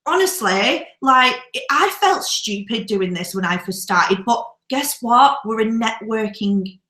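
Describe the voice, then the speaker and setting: A woman's voice: a little high, lively intonation, a little slow, sharp tone, reverberant. A woman coaching viewers on confidence in a casual, upbeat, encouraging way, talking straight to them. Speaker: businesswoman and co-host of a confidence talk. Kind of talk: casual live-stream coaching chat.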